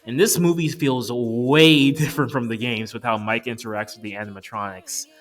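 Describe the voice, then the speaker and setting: A man in his twenties narrates an opinionated movie review. His pitch is average and his delivery is informal, with music playing behind him. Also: steady tone, normal speed. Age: 20s. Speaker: video reviewer.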